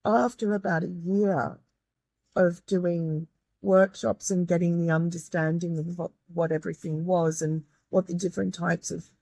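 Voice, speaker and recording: Woman, low croaky voice, earnest guest, dry close mic. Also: fairly even intonation, unhurried, a little mellow, no room sound. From Australia. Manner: earnest, grateful, with many restarts. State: croaky, tired voice. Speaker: guest, medium and tutor. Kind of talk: reflective interview talk.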